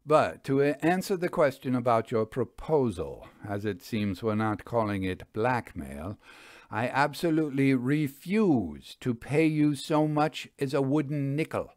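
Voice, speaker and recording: A man's voice: mid-range voice, lively intonation, measured pace, a little mellow, no room sound, clean studio sound, close to an everyday voice. A man voicing a self-assured, unruffled and defiant stage magician in an audiobook, with confident, formal, flourishing speech. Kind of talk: audiobook character dialogue.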